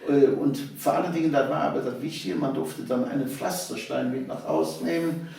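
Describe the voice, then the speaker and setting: A man's voice: a little high, fairly even intonation, a little fast, gravelly, darkish tone. An older man, telling anecdotes as a guest in a conversation. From Cologne, Germany. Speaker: interview guest, local history author. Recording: roomy, echoing, distant microphone.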